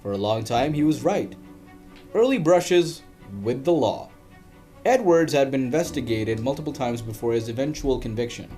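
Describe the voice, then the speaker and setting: A man's voice: medium pitch, lively intonation, warm tone, faint music behind. Man narrating a dramatic history story. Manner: dramatic storytelling with emphatic pauses. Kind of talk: scripted documentary narration.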